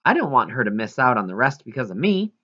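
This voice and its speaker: A man reading a first-person story aloud in an expressive, earnest way, now and then stumbling. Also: medium pitch, fast talker, lo-fi sound. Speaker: audiobook reader.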